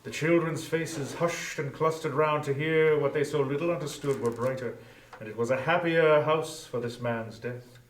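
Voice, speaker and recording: A man narrating a story in a solemn, deliberate, theatrical dramatic style. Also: on the high side, slight background noise. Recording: echoey room, distant from mic.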